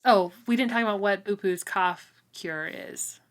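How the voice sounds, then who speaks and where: A woman's voice: medium pitch, a little slow. Giggly rambling woman podcaster. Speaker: podcast co-host. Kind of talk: casual book club podcast chat.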